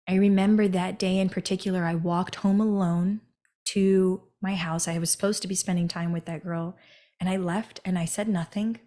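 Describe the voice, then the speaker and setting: A woman from the United States hosts a podcast alone and tells her own story. She talks to the listener directly and keeps asking "right?" as she goes. Her voice sits at an ordinary middle pitch for a woman. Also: a little mellow. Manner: earnest, addresses listeners directly, often adds "right?". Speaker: podcast host. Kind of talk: personal storytelling monologue.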